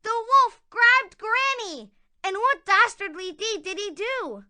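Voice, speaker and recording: A woman's voice: extremely high pitch, animated, slow pace, sharp tone. A woman narrating a scripted children's story in a dramatic storytelling manner.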